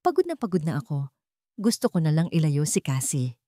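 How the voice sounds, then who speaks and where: A woman's voice: low voice, sing-song, fairly quick, dark tone, no room sound, clean studio sound. A woman voicing a proud, hurt young mother, fiercely protective and emotional, in a story drama. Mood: weary, despairing. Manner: defiant, emotional.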